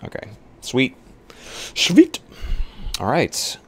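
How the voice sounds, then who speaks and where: A man's voice: mid-range voice, wildly swooping pitch, extremely slow, breathy edge. A man chatting casually with stream viewers and a co-host in an easygoing, informal, joking way. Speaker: live-stream roleplay player.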